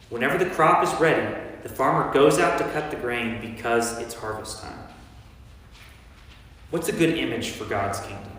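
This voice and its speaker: A man playing an authoritative teacher of parables in a staged scripture reading, speaking deliberately in a church. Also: mid-range voice, a bit bright. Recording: echoey church hall, faint background noise.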